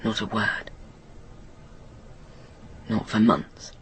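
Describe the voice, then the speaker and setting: A woman playing a guilt-ridden, stubborn grown daughter in a radio drama, speaking in a halting, anguished, bitter confession that often drops to a murmur. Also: very deep voice, breathy edge, exaggerated voice. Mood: quiet, desolate.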